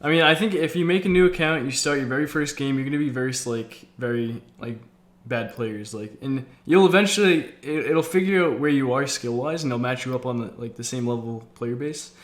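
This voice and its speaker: A man in his twenties from Massachusetts with an American accent, hosting a stream with excitable, slangy casual chat. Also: mid-range voice, fairly quick, roomy sound. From Massachusetts, United States. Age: 20s. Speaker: game streamer, host.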